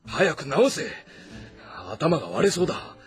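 An actor playing an impatient, imperious warlord of ancient China, curt and commanding, in an audio drama. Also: male voice, on the high side, very animated, a little slow, slightly rough, exaggerated voice. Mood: pained, impatient. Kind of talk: audio drama dialogue, period commanding speech. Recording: voice over background music.

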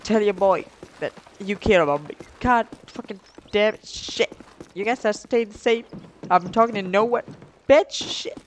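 A man's voice: high voice, moderate pace, few pauses, slight background noise. A young man casually telling a story while commentating on a game, rambling in slang with heavy swearing. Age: young adult. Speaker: gaming video creator telling stories over gameplay.

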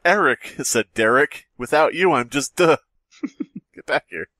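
A man's voice: fairly high pitch, animated, measured pace, pushed and bright, no echo, studio quality. A man co-hosting a podcast, casually joking, swearing and reading aloud. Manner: sweary, wisecracking. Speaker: podcast co-host.

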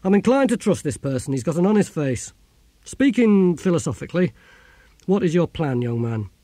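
Storybook old philosopher, acted by a man: blunt, dryly funny, declamatory, high and animated. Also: normal speed, dry recording. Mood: wry, pleased. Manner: theatrical, declaiming, dry humour. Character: old male philosopher, blunt, wry, gleefully defiant.

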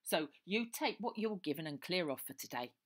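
A surly, heavyset pub landlord from a storybook, as a woman reads him barks in a lowered, gruff voice. He is dismissive and curt. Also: expressive, moderate pace. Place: small room indoors.

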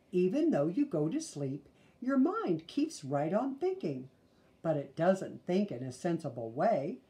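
A gentle storybook mother bear, read by a woman, with a mellow, lilting voice. She soothes and explains things patiently. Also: lowish voice.

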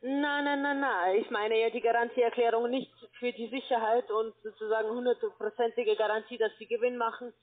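A woman giving a telephone sales pitch as a call center agent, explaining in a halting, repetitive way. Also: fairly even intonation. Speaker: call center account manager, telesales agent. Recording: tinny, band-limited phone line.

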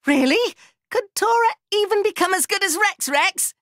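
A man voicing a small, excitable, proud furry inventor creature for a video game, squeaky and cartoonish, talking in childlike broken grammar and in the third person. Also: normal speed, no echo, clean studio sound. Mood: excitable, eager. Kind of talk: video game character voice lines.

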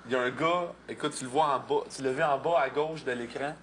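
A man's voice: mid-range voice, fast, a bit bright. Quebec man joking on a noisy mic. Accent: Quebec French. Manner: joking, slangy banter. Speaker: reality show contestant. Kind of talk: casual chat. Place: house living room, indoors. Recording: noisy hidden-camera room audio.

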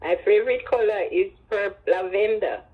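An elderly woman with a Jamaican accent and husky voice, telling personal stories with emphatic repetition and Creole phrasing. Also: medium pitch, moderate pace, phone-quality sound. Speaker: interviewee, grandmother. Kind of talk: personal storytelling in an interview.

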